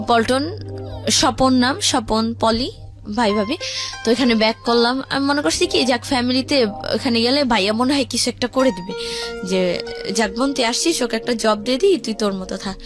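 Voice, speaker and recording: A woman's voice: a little high, moderate pace, long pauses, faint music behind. A young woman with a Bangladeshi accent telling her life story in a colloquial, conversational way, often voicing what others said. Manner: colloquial, acts out quoted speech.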